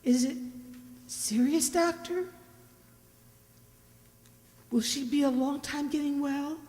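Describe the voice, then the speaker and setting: Woman as anxious middle-aged mother, mellow, higher voice, tender, gentle, reverberant hall, radio play. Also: slow pace. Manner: soothing, gentle.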